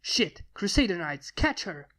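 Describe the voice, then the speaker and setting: A woman's voice: fairly low pitch, big pitch swings, a little slow, fairly bright tone. A woman voicing a stern, blunt male lord who speaks solemnly and commandingly in a fantasy story. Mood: urgent command.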